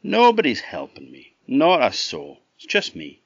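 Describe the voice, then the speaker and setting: A man's voice: mid-range voice, wildly swooping pitch, unhurried, gravelly, dry recording, highs cut off, voice pushed up, heavily acted. A man voicing a plucky, good-natured young Scottish lad in a folk tale, plain-spoken and innocent. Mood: stubborn.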